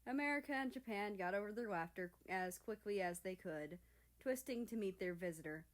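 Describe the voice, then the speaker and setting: A woman reading a story aloud as narrator in an expressive, scripted style. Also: medium pitch, fairly quick. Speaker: fan fiction reader.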